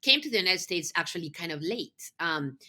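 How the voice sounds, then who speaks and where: Bright-voiced, enthusiastic woman from Mexico City. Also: lowish voice, animated, fairly quick. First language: Spanish. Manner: enthusiastic, emphatic. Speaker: podcast guest, nonprofit executive. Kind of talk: conversational interview answers.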